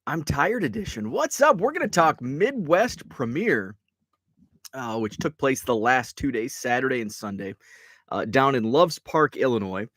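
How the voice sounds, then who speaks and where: A man's voice: medium pitch, big pitch swings, measured pace, a bit bright, no echo. Tired, congested middle-aged male host. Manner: rambling and chatty, with many restarts and asides. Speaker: host of a card-collecting show. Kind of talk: casual live-show chat. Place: card room.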